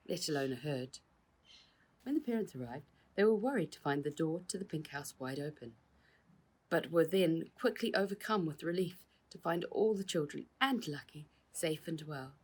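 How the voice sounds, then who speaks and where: Low-voiced woman reading a storybook, husky. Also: expressive, moderate pace. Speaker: author reading her own book aloud. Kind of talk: scripted storybook narration.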